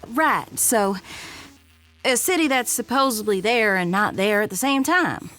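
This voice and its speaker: A woman's voice: fairly high pitch, lively intonation, a little slow, fairly bright tone, soft background music. A woman playing a brash, playful space adventurer in an audio drama, with a teasing, breezy manner. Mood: eager.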